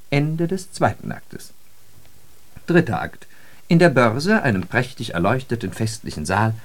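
A man acting in a radio drama as a worldly, ironic storyteller, speaking with theatrical emphasis. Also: on the low side. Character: worldly man telling ironic travel parables of New York. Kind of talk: scripted German radio drama monologue.

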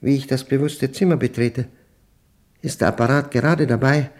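An actor playing a brooding, suspicious old widower who speaks a halting, introspective diary monologue in a German radio play. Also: male voice, mid-range voice, fairly even intonation, darkish tone, close to an everyday voice. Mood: uneasy, confiding. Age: older. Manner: halting, deliberate, broken phrases.

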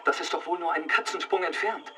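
An actor playing an educated letter-writing friend in a radio drama, reading in an elaborate, mannered, emphatic way. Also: male voice, fairly high pitch, fast, sharp tone, far from the mic, a little hiss or hum. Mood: enthused, confiding.

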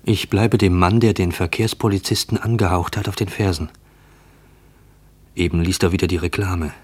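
A man playing an uneasy, watchful narrator in a radio play, introspective, questioning and deliberate. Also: deep voice, steady tone, darkish tone, slightly rough. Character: uneasy, watchful male narrator shadowing a stranger. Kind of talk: German radio play monologue.

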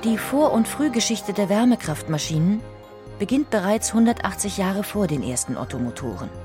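A woman's voice: medium pitch, big pitch swings, normal speed, music in the background. A woman reading a scripted documentary narration as an audiobook narrator.

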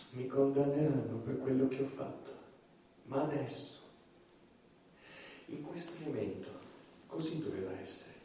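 A man's voice: medium pitch, natural voice. An actor playing an anguished, self-justifying male judge, defiant and guilt-ridden, in a declamatory theatrical monologue. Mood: sombre, resigned. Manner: declamatory, with swings from hushed to shouting. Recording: very echoey stage, distant microphone.

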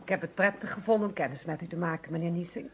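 A woman's voice: lowish voice, very fast, a little mellow, natural voice. An actress playing a hospitable, solicitous wife in a Dutch radio play, chatting politely and fussing over her husband. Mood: gracious. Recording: noisy old radio recording.

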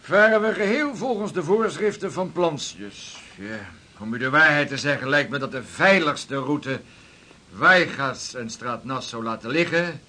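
A cautious seafaring captain of centuries past in a radio drama. His voice is bright and a bit high, his manner formal and respectful. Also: unhurried. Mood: matter-of-fact, cautious. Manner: formal, deferential. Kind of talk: historical radio play acting.

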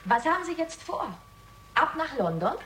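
A woman's voice: sits high, very animated, normal speed, fairly bright tone, low-quality recording. An actress playing a spirited, cheeky and blunt woman in a 1960s German radio crime drama, teasing and frank. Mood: excited, musing.

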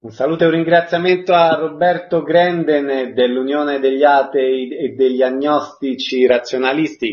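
A man's voice: medium pitch, darkish tone, muffled recording. A man hosting a radio programme, putting questions to guests and presenting segments. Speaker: radio host and interviewer.

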